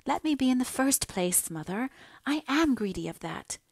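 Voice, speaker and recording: A woman's voice: sits high, very animated, moderate pace, creaky, darkish tone, no echo, pitched above the performer's own voice, full character voice. Devoted, impulsive young daughter for storybook drama played by a female reader: heartfelt, imploring delivery, close dry sound. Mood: humble, earnest. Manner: earnest, pleading, fervent. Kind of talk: dramatised audiobook dialogue.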